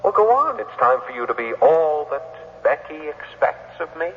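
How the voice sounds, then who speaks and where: A man's voice: a little high, big pitch swings, moderate pace. A man acting in an old-time radio drama, playing the suave, sinister ghost of a dead twin, taunting through reverb. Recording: reverberant, dull vintage radio recording.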